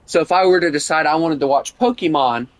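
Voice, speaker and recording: A man's voice: a little high, fast talker, dry recording. Rambling young Southern man streaming games. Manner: rambling, opinionated, with many fillers. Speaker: gaming streamer. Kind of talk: casual gameplay chat with viewers.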